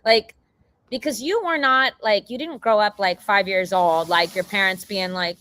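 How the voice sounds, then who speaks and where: A woman's voice: mid-range voice, moderate pace, bright, forceful tone. A woman hosting a casual interview, rambling through her questions with many fillers and repeated likes. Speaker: interviewer host.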